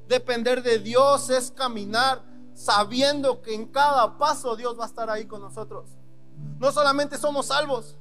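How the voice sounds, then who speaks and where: A man with a Mexican Spanish accent preaches a sermon. His voice sits very high for a man. He keeps the tone conversational and acts out prayers and God's answers, with faint music underneath. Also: a bit bright. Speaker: pastor.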